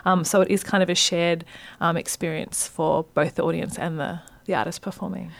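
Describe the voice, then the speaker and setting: A woman, an arts director interviewed on radio, talking with animated enthusiasm and many fillers. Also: on the low side, brisk, creaky. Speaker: arts centre director, guest.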